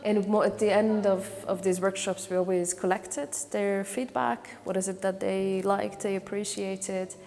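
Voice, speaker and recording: A woman's voice: medium pitch, level tone, unhurried, hardly any pauses, a little mellow, long reverb tail. A woman from Hungary, a volunteer trainer and career counselor, talks through her project in a testimonial interview, thoughtful and full of hesitations and restarts. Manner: thoughtful, many fillers and restarts.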